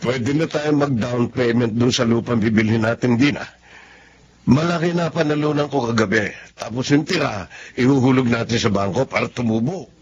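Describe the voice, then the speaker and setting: A man playing an irritable, defensive middle-aged husband and father in a Filipino radio drama, gruff and insistent. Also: mid-range voice, moderate pace, lightly acted. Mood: eager. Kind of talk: Filipino radio melodrama acting. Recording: dull lo-fi audio with music.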